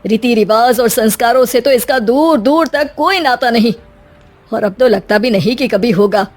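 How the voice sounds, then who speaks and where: A woman's voice: on the high side, moderate pace, soft background music, natural voice. A woman voicing a stern, disappointed middle-aged traditional father in a cartoon story, reproachful and lecturing. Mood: exasperated.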